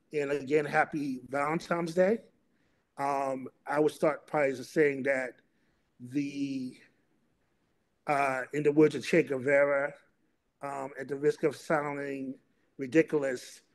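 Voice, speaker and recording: Halting man moderating, slightly high voice. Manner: halting, hesitant delivery with repeated words. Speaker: panel moderator. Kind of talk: introducing speakers and opening a panel discussion. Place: remote video call.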